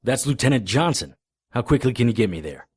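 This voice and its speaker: An actor playing a tough, wry occult investigator in a hardboiled radio drama, guarded and gruff in manner. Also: male voice, medium pitch, very animated, a little fast, a little mellow, dry recording, studio quality. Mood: urgent. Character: tough, wry male occult investigator, narrating his own cases.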